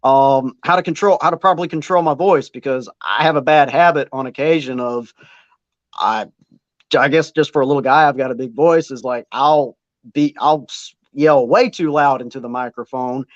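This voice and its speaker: A man's voice: medium pitch, normal speed, dry recording, muffled recording. A man who works as a wrestling ring announcer, chatting casually as an interview guest and rambling with plenty of fillers. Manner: rambling, self-deprecating, many fillers.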